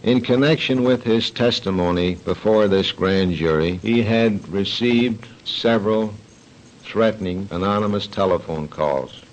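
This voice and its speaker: An American man reads an official police statement, formal and measured. His voice is fairly low, on a dull vintage radio recording.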